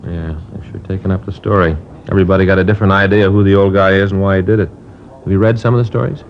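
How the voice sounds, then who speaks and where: An actor playing a brisk, plain-spoken police detective in a 1950s radio crime drama. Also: male voice, sits low, steady tone. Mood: questioning. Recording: dull, noisy old radio transcription.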